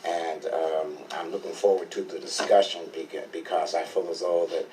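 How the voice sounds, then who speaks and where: Man in his sixties, lowish voice, measured and deliberate, radio panel guest, faint background hiss. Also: fairly even intonation, dark tone, low-quality recording. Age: 60s. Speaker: community elder guest.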